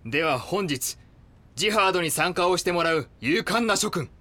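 An actor playing a devoted cult lieutenant in an audio drama, formal, obedient and zealous. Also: male voice, sits high, expressive, moderate pace, pushed and bright. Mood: formal, rallying. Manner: formal, deferential, reporting. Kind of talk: Japanese audio drama acting.

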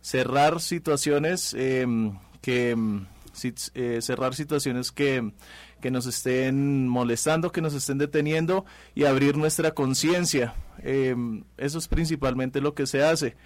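A man from Colombia, a guest explaining a ceremony in a calm, earnest way with frequent hesitations. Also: mid-range voice, slow pace, no room sound.

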